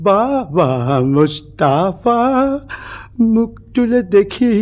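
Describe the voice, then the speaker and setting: High, drawling old cobbler. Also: male voice, expressive, a little mellow, exaggerated voice. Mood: dreamy, rambling. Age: older. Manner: rambling, drawn-out, dreamy storytelling. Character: old, talkative, opium-eating cobbler with failing eyes. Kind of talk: theatrical comic dialogue.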